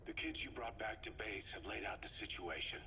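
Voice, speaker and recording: An actor playing a gruff, embittered military commander in a video game, guarded and stubborn, with terse, brooding delivery. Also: male voice, on the low side, a little fast, sharp tone. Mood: grim briefing. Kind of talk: dramatic video game voice acting. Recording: faintly noisy cassette tape recording.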